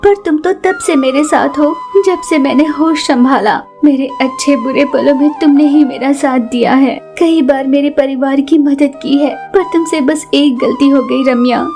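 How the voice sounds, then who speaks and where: Woman acting a high-voiced, selfless village mother. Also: normal speed, hardly any pauses, a little mellow, faint music behind. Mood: forgiving, earnest.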